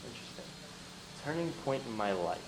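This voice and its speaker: A man's voice: medium pitch, big pitch swings, brisk. A young man speaking to students as a guest speaker, earnest and motivational, answering questions. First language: Albanian. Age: young adult. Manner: earnest, motivational, loud at the start. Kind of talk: talk to students with Q&A. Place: classroom. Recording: roomy, from a distance, faint noise.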